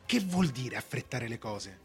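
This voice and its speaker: A man playing a puzzled, courteous accused man with a husky voice, full of polite questions, in a radio drama. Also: medium pitch, sing-song, a little slow, faint music behind, full character voice. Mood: incredulous. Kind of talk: stage acting in a radio drama.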